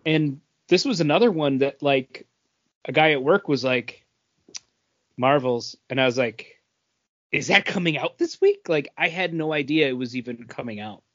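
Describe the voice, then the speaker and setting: A man's voice: on the high side, expressive, normal speed, a bit bright. A man co-hosting a podcast in casual, conversational chat. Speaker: podcast co-host. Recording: dull, lo-fi audio.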